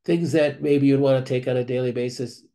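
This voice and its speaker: A man, a clinic doctor, reads member questions aloud and answers them in a measured way, thinking aloud with restarts, over a screen-share video call. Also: mid-range voice, fairly even intonation, mellow.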